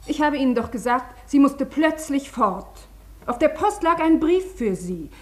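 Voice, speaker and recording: A flustered woman in a radio play, smoothing things over. Her voice is fairly high, and she sounds hesitant yet over-insistent, always placating. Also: very animated, unhurried. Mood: flustered, defensive. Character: flustered woman glibly covering up an absence. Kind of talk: German radio play acting.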